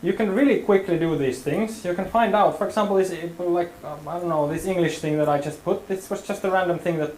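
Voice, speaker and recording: A man's voice: sits high, fairly quick, few pauses, a little mellow, reverberant, slight background noise, poor audio. A man leading a hands-on workshop tutorial, talking casually and hedging with many fillers. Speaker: workshop instructor.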